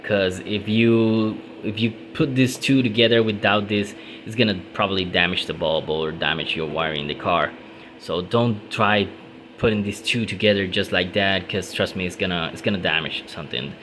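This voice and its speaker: A man's voice: deep voice, noisy background. Casual Puerto Rican-accented man explaining wiring. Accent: Puerto Rican Spanish. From Puerto Rico. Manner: casual, halting, many ums and restarts. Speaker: car modding hobbyist. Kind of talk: hands-on how-to tutorial.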